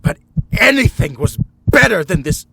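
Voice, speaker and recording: A man playing a deranged, guilt-ridden murderer confessing in a theatrical, halting gothic horror narration. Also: sits high, very animated, vocal fry, bright, forceful tone, breathy edge, dry recording, voice pushed up, exaggerated voice. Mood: frantic, anguished. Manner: theatrical, halting, with deliberate pauses and repeated words.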